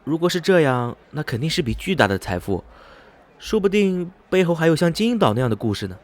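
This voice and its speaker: A man's voice: a little high, very animated, a little fast. An actor playing an eager, curious young male traveler in a radio drama. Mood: excited. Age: young adult. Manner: eager, inquisitive.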